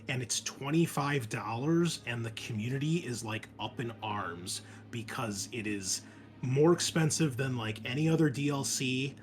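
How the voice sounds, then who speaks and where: A man hosting and running a tabletop game in a casual, enthusiastic, sweary, conversational way. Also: mid-range voice. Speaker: game master and host.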